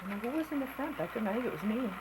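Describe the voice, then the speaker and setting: An older woman with a gravelly voice, chatting casually as she looks back over old family slides, often clearing her throat. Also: a little high, expressive, racing. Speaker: family member narrating home slides. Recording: home recording with noticeable background noise.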